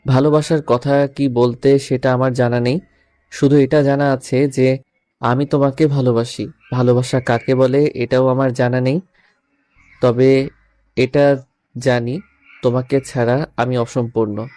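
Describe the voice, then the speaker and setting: A man plays a lovestruck young male boss in a romantic audio story. His voice is mellow and rather flat in pitch, recorded close and dry. He speaks in a sincere, measured way. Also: no room sound, natural voice. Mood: tender, earnest.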